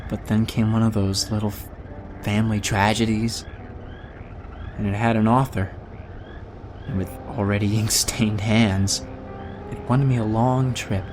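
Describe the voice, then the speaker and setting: An actor playing a dazed, self-loathing young man in an audio drama, rambling in a woozy, drawn-out way. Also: lowish voice, background noise, close to an everyday voice.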